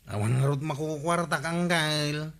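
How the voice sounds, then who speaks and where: A cheeky small-village councilman from a comedy radio drama, played by a man. His voice is fairly high, with a bright, tense edge. He wheedles and pleads for money. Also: moderate pace. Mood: wheedling.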